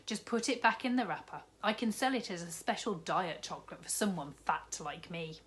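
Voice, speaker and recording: A woman's voice: on the low side, lively intonation, moderate pace. A woman doing a jolly big shopkeeper man. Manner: warm, coaxing, fatherly.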